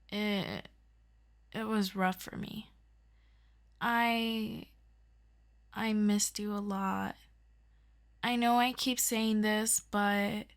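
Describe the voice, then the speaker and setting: A woman acting as an earnest, chatty superhero best friend in an ASMR roleplay, rambling and hesitant with affection. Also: medium pitch.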